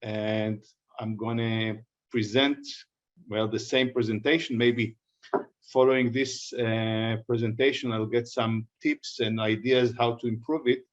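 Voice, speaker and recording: An Israeli man opens a lecture on a video call. He speaks haltingly and often restarts a thought before he finishes it. The audio is dull and lo-fi. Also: medium pitch, flat delivery. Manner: halting, with restarts and self-corrections. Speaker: guest presenter. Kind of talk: online lecture introduction.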